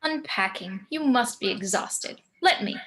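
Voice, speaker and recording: A woman playing a languid, doting, macabre lady of the house in a table read, with drawn-out theatrical delivery. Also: fairly high pitch, expressive, a bit bright. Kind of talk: film script table read.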